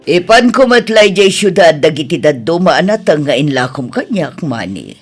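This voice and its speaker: A man acts out an older village market woman in an audio drama. She chats and explains in a raised voice with a hugely animated melody. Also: a little high, normal speed, faint music behind. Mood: chatty. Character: chatty older village woman who runs a stall.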